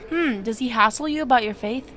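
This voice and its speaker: A woman's voice: a little high, brisk, fairly bright tone, faint music behind, lightly acted. An actress playing a friendly, inquisitive young girl in an audio drama. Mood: curious. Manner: friendly, curious.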